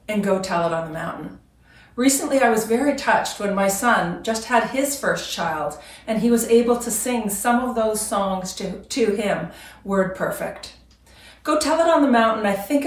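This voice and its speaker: An older woman sharing a prepared personal reflection as a church member. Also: mid-range voice. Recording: roomy echo, distant microphone.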